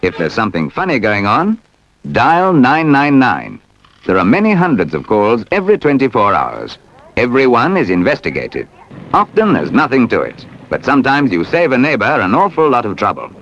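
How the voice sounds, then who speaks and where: A man's voice: medium pitch, huge pitch swings, normal speed, a bit bright. A British man narrating a public information film from a script. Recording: faintly noisy old film soundtrack.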